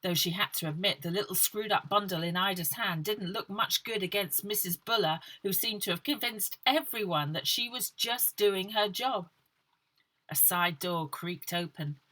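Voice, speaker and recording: Bright, sharp-voiced woman reading a story. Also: mid-range voice, normal speed, low-quality recording. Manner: dramatic, animated storytelling. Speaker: author reading own story. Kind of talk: expressive scripted narration of a children's novel.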